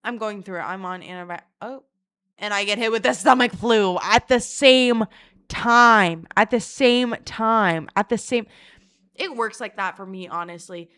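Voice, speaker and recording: A woman telling a rambling, profane story in a casual vlog, mocking herself and breaking into loud laughter. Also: mid-range voice, unhurried, smooth. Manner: self-mocking and animated, bursts of loud laughter. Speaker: vlogger.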